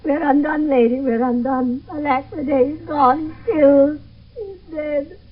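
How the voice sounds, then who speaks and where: An older actress playing a distraught, garrulous old nurse in a 1970s radio Shakespeare play, lamenting in drawn-out repetitions. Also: female voice, high-pitched. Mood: wailing grief. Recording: muffled, noisy old radio broadcast.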